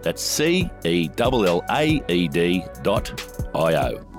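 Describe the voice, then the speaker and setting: A man's voice: deep voice, sing-song, slow pace. A man reading a serious scripted advertisement as a voice-over. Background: music.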